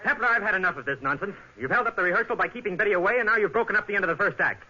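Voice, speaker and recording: An actor playing an irritable, blustering stage manager in a 1950s radio drama. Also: male voice, sits high, expressive, racing, crowd noise. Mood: indignant. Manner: indignant, blustering. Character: irritable, flustered stage manager, adult man. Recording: muffled, very noisy vintage radio recording.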